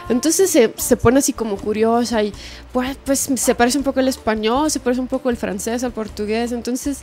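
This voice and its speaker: A young Italian woman from Sicily with an Italian accent, chatting as a musician guest, lively and laughing, hunting for words now and then. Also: fairly high pitch, normal speed, soft background music. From Sicily, Italy. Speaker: singer-songwriter guest. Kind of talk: casual interview chat. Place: room with wooden louvered doors, indoors. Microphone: handheld mic.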